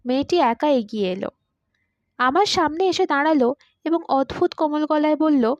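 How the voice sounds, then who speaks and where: A woman reading a literary short story aloud in an expressive, dramatised narrating style. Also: sits high, normal speed, no echo, dull audio. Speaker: story reader.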